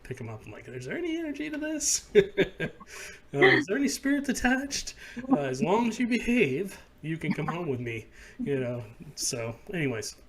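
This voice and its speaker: A man's voice: on the high side, very animated, normal speed, a bit bright. A man hosting a paranormal podcast, telling stories and asking questions in a loose, filler-heavy way. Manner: loose storytelling, many fillers. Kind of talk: casual interview chat.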